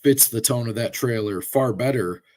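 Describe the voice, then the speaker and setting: A man whose voice is hoarse and rough from a lingering cold. He co-hosts a relaxed podcast chat and rambles through his opinions, circling back with fillers and restarts. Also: medium pitch, moderate pace. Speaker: podcast co-host. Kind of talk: casual chat.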